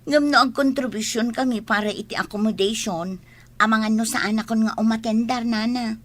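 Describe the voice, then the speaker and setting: Woman acting, modest daughter, Ilocano Filipino accent, highish pitch, thoughtful, drama dialogue. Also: fairly even intonation, moderate pace, natural voice. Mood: reflective. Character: modest, thoughtful daughter readying for a school reunion.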